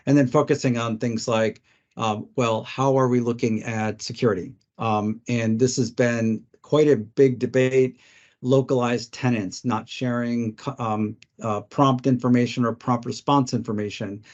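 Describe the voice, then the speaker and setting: A man giving an explanatory business presentation as a technology expert, speaking in a measured way with short pauses. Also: medium pitch, a little mellow. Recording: dull, band-limited webinar audio.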